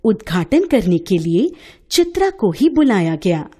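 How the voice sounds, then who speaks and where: A woman storyteller giving an expressive scripted narration of a bedtime story. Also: mid-range voice, measured pace, breathy edge.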